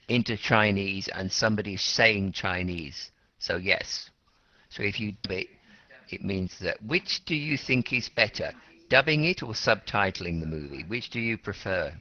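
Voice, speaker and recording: A man's voice: on the low side, bright, forceful tone. A man teaching an English class, questioning students and explaining words simply with repetition and rephrasing. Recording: dull, lo-fi classroom recording.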